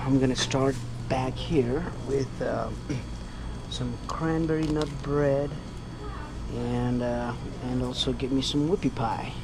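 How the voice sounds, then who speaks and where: A man's voice: mid-range voice, noisy background. A man from Nepal, chatting earnestly in a casual travel vlog and often restarting his sentences. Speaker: travel vlogger.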